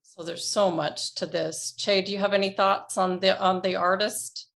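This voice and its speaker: A woman's voice: medium pitch, steady tone, normal speed, vocal fry, a bit bright. A woman from Canada hosting a podcast, enthusiastic and asking long, detailed questions. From Toronto, Canada. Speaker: podcast host. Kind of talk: podcast interview.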